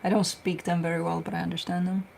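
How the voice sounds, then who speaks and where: A woman's voice: lowish voice, level tone, fast, vocal fry, a little mellow, slight background noise. A woman, a tarot reader chatting casually on a livestream, telling stories and answering viewer questions. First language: Romanian.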